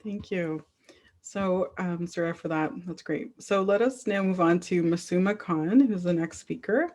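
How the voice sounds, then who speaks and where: Low-voiced woman hosting an online panel. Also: a little slow. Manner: steady, reads speaker bios aloud. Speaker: event host and moderator. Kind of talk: introducing speakers at an online event. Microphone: video call.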